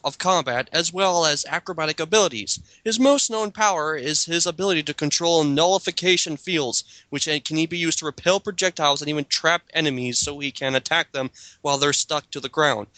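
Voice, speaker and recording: Guy reading a bio out loud with casual comments, bright sharp voice, dry close sound. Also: fairly high pitch, fairly quick, few pauses, no echo. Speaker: host reading a character bio aloud.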